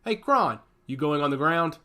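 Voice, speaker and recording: A man's voice: fairly high pitch, animated, moderate pace, sharp tone. A man voicing a brash, cocky augmented fighter who talks with swagger and defiance. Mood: brisk. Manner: confident, defiant.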